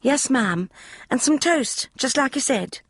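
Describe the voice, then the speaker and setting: A woman's voice: high voice, animated, unhurried, no room sound, clean studio sound, pitched above the performer's own voice, full character voice. A woman voicing a young, flustered housemaid who gossips in broad, common speech. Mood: gossipy.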